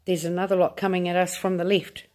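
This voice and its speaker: A woman's voice: on the low side, flat delivery, fast talker, darkish tone, natural voice. A woman voicing a desperate male astronaut in a read-aloud storybook.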